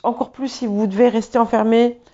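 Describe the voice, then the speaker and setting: A woman's voice: fairly high pitch, steady tone, a little fast, dark tone, highs cut off. A woman whose first language is English, giving a tarot reading in a hesitant way, with many fillers and restarts. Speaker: tarot reader. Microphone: small clip-on mic.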